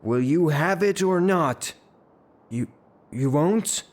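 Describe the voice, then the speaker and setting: An actor playing a blustering, lovestruck, hot-tempered gentleman landowner in an audio drama, with a halting, theatrical delivery. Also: fairly high pitch, lively intonation. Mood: pleading, ashamed. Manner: theatrical, halting, repeats himself.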